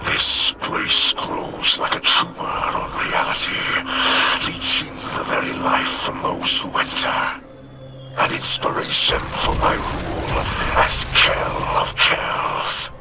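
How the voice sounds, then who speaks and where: An actor playing a cursed alien warlord in a video game, with a raspy, growling voice and a theatrical, grandiose manner. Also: male voice, slow pace, lightly acted. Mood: menacing relish. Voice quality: raspy, growling, breathy creature voice. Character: cursed alien warlord father, menacing, struggling for control. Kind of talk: dramatic video game acting. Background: loud dramatic music.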